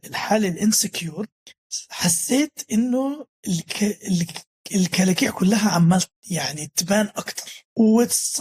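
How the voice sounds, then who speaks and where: A man in his thirties with a Levantine Arabic accent, a guest telling his story in a halting way, often restarting words and mixing in English terms. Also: sits high, a little mellow, breathy edge. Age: 30s.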